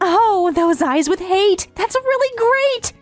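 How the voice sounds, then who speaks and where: A woman's voice: extremely high pitch, animated, takes it slowly, soft background music, heavily acted. A woman playing a lovestruck, brazenly submissive teenage step-sister in an anime-style romantic comedy dub. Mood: giddy, infatuated.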